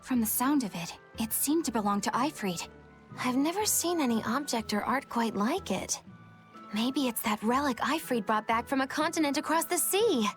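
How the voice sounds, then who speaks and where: A woman's voice: high-pitched, lively intonation, moderate pace, soft background music. A woman playing a prim, serious young woman in an English game dub, earnest, proper and drily disapproving.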